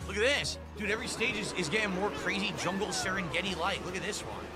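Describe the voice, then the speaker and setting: A man's voice: sits high, fast talker, over loud music. A man on a live gameplay stream, chatting casually and swearing as he reacts to the game.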